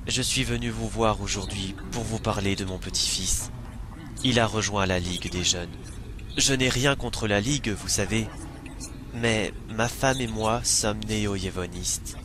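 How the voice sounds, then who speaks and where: A man acts out an elderly, polite grandfather in an amateur fantasy game dub. His lowish voice is formal and hesitant, full of worry. There is plain noise behind the speech. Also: a little slow, natural voice. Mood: worried. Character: polite, worried old grandfather from a fantasy world. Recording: noisy amateur recording, rumbling background.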